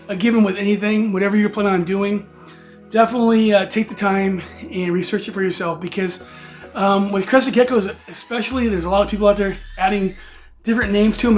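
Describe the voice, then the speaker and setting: A man in his forties, hoarse and raspy from allergies, hosting a casual vlog and rambling with many fillers and restarts. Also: high voice. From United States. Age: 40s. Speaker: reptile channel host.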